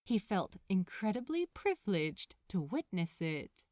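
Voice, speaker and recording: A woman reading fiction aloud as a narrator, in an expressive storytelling style. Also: mid-range voice, a little slow, no echo, clean studio sound. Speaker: podfic narrator.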